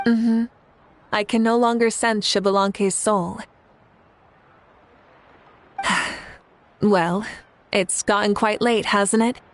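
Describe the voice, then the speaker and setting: A woman's voice: mid-range voice, steady tone, takes it slowly, pauses often, natural voice. A woman voicing a poised, kindly young female leader in a video game, speaking in a composed, gracious and assured way. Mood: gentle. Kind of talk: video game character acting.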